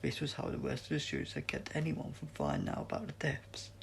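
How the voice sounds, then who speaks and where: A man narrates a children's-style adventure story in a very creaky, gravelly voice of middling pitch. He reads from a script and now and then trips over a word. There is faint noise on the recording. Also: sing-song, brisk. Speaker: audiobook reader. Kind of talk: scripted story narration read aloud, amateur.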